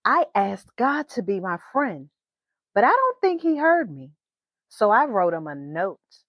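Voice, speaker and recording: A woman's voice: mid-range voice, huge pitch swings, a little slow, fairly bright tone, no echo, clean studio sound, heavily acted. A woman voicing an innocent, eager young girl in a children's storybook, earnest and wide-eyed. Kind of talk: children's storybook read-aloud.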